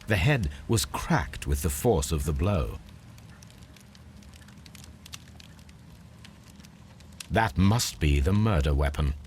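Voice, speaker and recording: Victorian English detective, game. Low register with expressive swings, composed, exact, quietly deductive. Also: male voice, slight background noise. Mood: analytical. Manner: deliberate, precise, musing. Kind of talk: video game voice acting.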